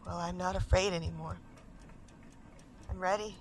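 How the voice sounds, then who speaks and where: An actress playing a haunted, restless woman in an audio drama monologue, halting, intense and emotional. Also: lowish voice, steady tone, natural voice. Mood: anguished, determined. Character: haunted, restless woman desperate to recover her lost identity.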